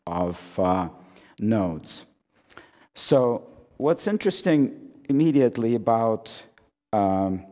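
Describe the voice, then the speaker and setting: A hoarse man who is losing his voice gives an academic conference talk, halting with many fillers and restarts, amplified through a microphone. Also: lowish voice, dark tone. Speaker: conference speaker. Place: lecture hall. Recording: reverberant lecture hall.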